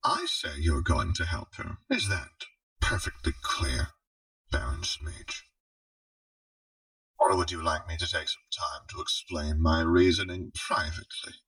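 Man voicing a husky, icily polite woman crime boss. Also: rumbling low, big pitch swings, dry recording, recorded from a distance, exaggerated voice, low-quality recording. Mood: cold threat. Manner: measured, formal, quietly threatening.

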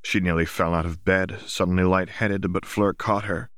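A man with a low-pitched voice narrates a novel for an audiobook. His reading is scripted and literary. The recording is dry, with very little room sound. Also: little pitch movement, moderate pace, a bit bright, no room sound.

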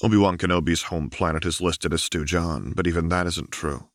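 Low gravelly male storyteller. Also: fairly even intonation, fairly quick, no room sound, studio quality. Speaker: narrator. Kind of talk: fan fiction audiobook reading.

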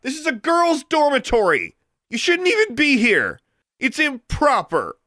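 An audiobook character: a defiant twelve-year-old girl, acted out by a man, pitched far above normal speech. She is indignant and quick-tempered, snapping her retorts with wildly swinging intonation in a dry recording. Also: wildly swooping pitch, sharp tone, dry recording, full character voice. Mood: furious shouting.

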